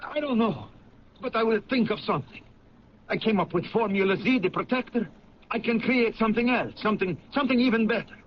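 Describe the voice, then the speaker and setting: A man playing an excitable, stubborn refugee inventor in radio drama, speaking in insistent, formal, uncontracted phrases. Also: high voice, brisk. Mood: agitated, insistent. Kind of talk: old radio drama acting. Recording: muffled, noisy 1970s radio recording.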